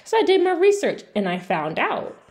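A woman's voice: high-pitched, huge pitch swings, normal speed, a little mellow. A woman giving opinionated, casual commentary in a blunt, exasperated, sweary manner. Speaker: video commentator.

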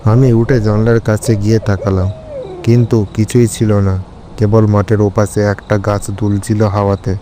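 A man narrating a scripted horror story in the first person, in a measured, solemn storytelling manner. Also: on the low side, flat delivery, background noise.

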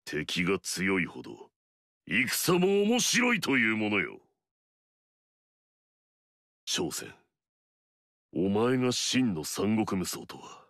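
A man's voice: on the low side, huge pitch swings, slow pace, long pauses, a bit bright, no echo, studio quality, heavily acted. An actor playing an arrogant, fierce male warlord in a video game, swaggering and barking battle cries.

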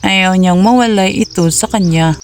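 A woman narrating a cartoon folk tale in a plain, steady storytelling manner. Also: medium pitch, moderate pace, slight background noise.

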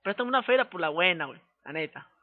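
Through very muffled phone audio comes a man with a northern Mexican accent and a notably high voice. He talks fast, in thick street slang. His manner is pushy and threatening, and he circles back on his phrases as a prank caller. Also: expressive. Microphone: telephone call.

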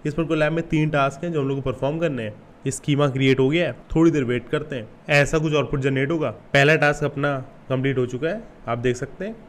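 A man's voice: mid-range voice, quick pace, few pauses. A man in his twenties with an Indian accent hosts a casual step-by-step screen tutorial, informal and instructional, mixing in English terms. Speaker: YouTube tutorial host.

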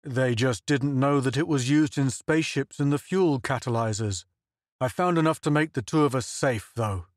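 A man's voice: mid-range voice, normal speed, dry recording, studio quality, close to an everyday voice. A man voicing a hunted, determined man who speaks in an urgent, fierce tone in an audiobook. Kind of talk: audiobook character voice.

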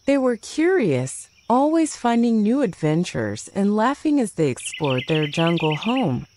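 A woman narrates a children's story in a scripted storytelling style. Behind her runs a clear layer of noise, with insects chirping and birds singing. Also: mid-range voice, big pitch swings, a little slow.

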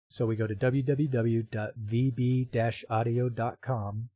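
Mellow-voiced man giving a dry software tutorial. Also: medium pitch, no room sound, dull audio. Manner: informal, explanatory. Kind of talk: step-by-step screen tutorial narration.

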